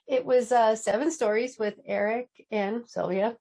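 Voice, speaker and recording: A woman's voice: on the high side, fairly even intonation, moderate pace. Effusive woman hosting a storytelling event. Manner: warm, effusive, giggly. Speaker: event host. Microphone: video call.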